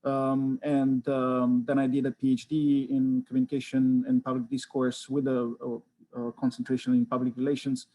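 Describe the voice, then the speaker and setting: A man from Romania, an associate professor, introducing himself from home on a video call in a measured way with frequent fillers. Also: medium pitch, monotone, mellow, poor audio.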